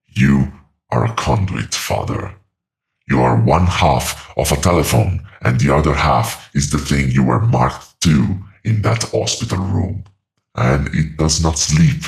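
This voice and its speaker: A man playing an ancient, weary demonic entity in a horror audio drama, gravelly and solemn, speaking in deliberate, ornate phrases. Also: heavily acted. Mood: grave, foreboding. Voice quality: very deep, gravelly. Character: ancient two-thousand-year-old demonic entity in a borrowed mouth, weary, grave.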